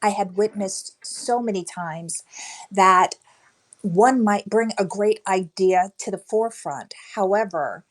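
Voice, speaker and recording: Light-voiced woman, measured and deliberate. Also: medium pitch. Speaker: guest speaker on women's leadership. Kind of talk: panel talk answering a host.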